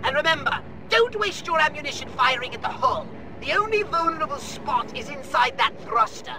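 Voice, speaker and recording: An actor playing an excitable mission controller in a game, shouting orders. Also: male voice, extremely high pitch, normal speed, background noise. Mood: excited.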